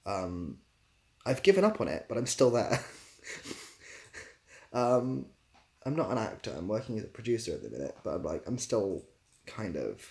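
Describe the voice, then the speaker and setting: A man's voice: medium pitch, creaky, darkish tone. A man chatting casually on a livestream, reading out questions and answering them in a rambling, self-deprecating way. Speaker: live streamer answering chat questions.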